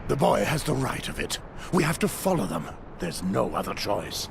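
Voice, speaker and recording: An actor playing a stern, loyal, resolute watch soldier in a dramatic fantasy video game, with a deep gravelly voice. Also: male voice, very animated, moderate pace, background noise. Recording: loud music and battle sounds behind.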